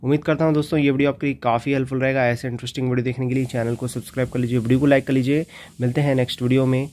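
A man's voice: mid-range voice, rapid-fire. A man hosting a casual step-by-step tech tutorial, often addressing his viewers as friends. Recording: steady background noise and ambience.

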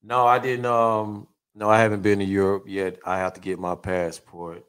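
Laid-back low-voiced man from Florida. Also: flat delivery, moderate pace, a bit bright, clean tone. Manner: laid-back, slangy, laughs often. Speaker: podcast guest, trainer. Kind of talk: casual chat. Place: studio set. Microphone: studio mic on stand.

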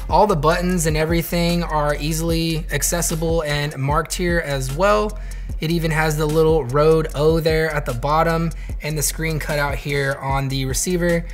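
A man in his thirties hosting a camera gear tutorial, explaining settings step by step in a friendly way, recorded on clip-on wireless mics in a home living room. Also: fairly high pitch. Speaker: host of a filmmaking gear channel.

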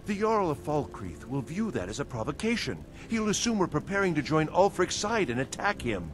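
An actor playing a cautious, worried steward to a lord in a fantasy video game, formal and pleading. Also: male voice, on the high side, very animated, brisk, faint music behind. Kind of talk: video game character dialogue.

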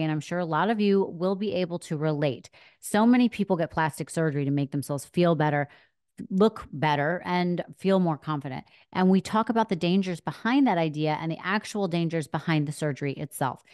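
Low-voiced woman hosting a podcast. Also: clear, resonant tone, no echo, clean studio sound. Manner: warm, chatty, quick to laugh and affirm. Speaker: podcast host. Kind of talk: scripted intro then casual interview chat. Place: podcast studio. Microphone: studio microphone on boom arm.

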